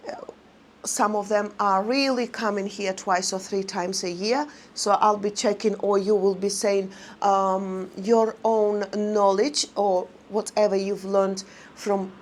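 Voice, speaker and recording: A woman vlogger chatting casually to her viewers in non-native English, rambling and halting with many fillers. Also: mid-range voice, a little hiss or hum.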